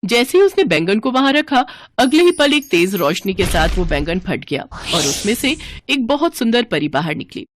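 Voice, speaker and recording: Animated female storyteller, lively intonation. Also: mid-range voice, moderate pace, background noise. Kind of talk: scripted story narration.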